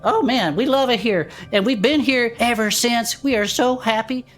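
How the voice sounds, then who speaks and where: A woman's voice: a little high, normal speed, natural voice. A woman playing a folksy retired adventurer gnome who co-hosts a podcast in an audio drama, with an exclamatory manner. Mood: enthusiastic.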